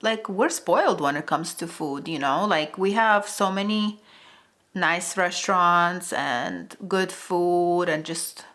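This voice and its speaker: A woman's voice: fairly low pitch, slow pace, fairly bright tone. A young woman, chatting casually while doing her makeup, rambling with many fillers and restarts. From Eastern Europe. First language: Russian. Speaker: beauty vlogger. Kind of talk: casual get-ready-with-me chat.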